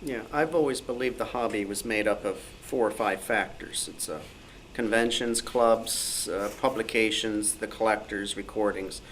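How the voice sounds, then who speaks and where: A man's voice: lowish voice, normal speed, hardly any pauses, fairly bright tone. A man speaking off the cuff as a panelist at a club meeting of radio hobbyists. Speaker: panelist, old-time radio fan. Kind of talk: unscripted panel discussion. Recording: noisy live meeting room recording.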